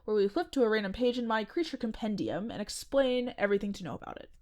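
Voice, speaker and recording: A woman's voice: on the high side, lively intonation, fast talker, a little mellow. Rambling woman with many fillers. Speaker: podcast host playing a restaurant worker. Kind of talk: scripted horror comedy monologue.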